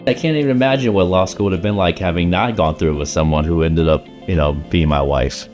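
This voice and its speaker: A man in his thirties or early forties from South Carolina, born in China, reminiscing in a conversational interview with halting, thoughtful phrasing. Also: sits low, darkish tone, faint music behind. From grew up in South Carolina, born in China. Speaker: law school alumnus, interviewee.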